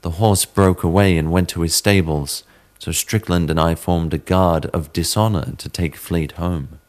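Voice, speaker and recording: A man giving a measured, theatrical dramatic reading of a classic horror story as narrator, in the manner of a storyteller. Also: deep voice, fairly even intonation. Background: sitar music.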